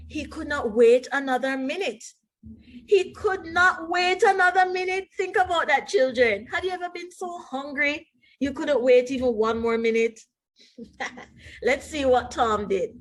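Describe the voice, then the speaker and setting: A woman teacher reading a story aloud to young children expressively, with deliberate emphasis and questions to her listeners. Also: high-pitched, lively intonation.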